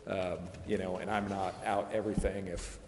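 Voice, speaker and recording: A man's voice: sits low, level tone, normal speed. A man speaking as a lawyer advising a public meeting, explaining informally in a plain-spoken, discursive, self-deprecating way. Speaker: lawyer advising a city council. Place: indoor council chamber. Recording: echoey hall with long reverb, background noise.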